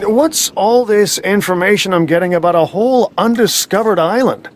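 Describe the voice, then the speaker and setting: A wary, sardonic woman park manager from a video game, played by an actress. Her voice is conversational and sits at a middling female pitch, with faint noise behind it. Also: moderate pace, lightly acted. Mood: playful, evasive.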